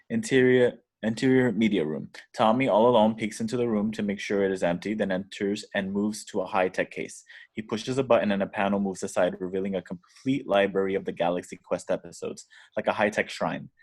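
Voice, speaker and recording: Male narrator, lowish pitch, reading script stage directions. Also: a little mellow. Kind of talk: scripted narration at a table read.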